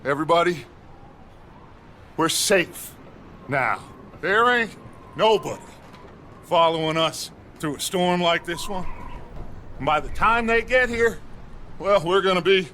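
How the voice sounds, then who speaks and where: A charismatic American outlaw leader in a cinematic western game. He speaks slowly and deliberately like an orator, fatherly and commanding, with a bright, forceful edge. Some background ambience sits behind him. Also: high-pitched, a little hiss or hum, heavily acted. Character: commanding, charismatic male outlaw gang leader, middle-aged.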